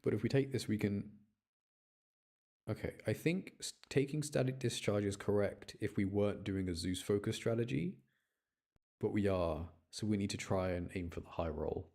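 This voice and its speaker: Low-voiced man rambling through a game. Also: moderate pace, warm tone. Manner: rambling, self-talking, explains choices as he plays. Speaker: gaming content creator. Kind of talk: casual gameplay commentary.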